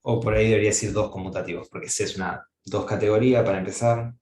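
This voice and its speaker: A man's voice: lowish voice, fairly even intonation, fast, a little mellow, echoey room. A man giving an academic mathematics lecture, thinking aloud with frequent fillers and restarts.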